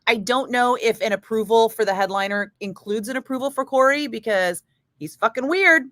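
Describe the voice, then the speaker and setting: A middle-aged woman radio DJ chatting casually with viewers between breaks, profane and quick to laugh. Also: on the high side, fairly bright tone, no echo, clean studio sound. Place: radio studio.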